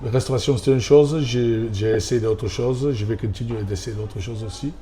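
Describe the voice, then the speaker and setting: A man from Australia with an Australian accent, a restaurant owner and former rugby player, answering interview questions in halting French with frequent restarts. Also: medium pitch, warm tone.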